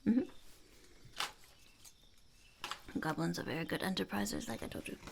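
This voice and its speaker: A woman voicing a blunt, smug female goblin entrepreneur in an unhurried, breathy, gravelly half-whisper for an ASMR roleplay. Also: fairly low pitch, very noisy. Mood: bluntly dismissive. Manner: speaks of herself in third person, unhurried, blunt. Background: crinkling wrappers and clicks.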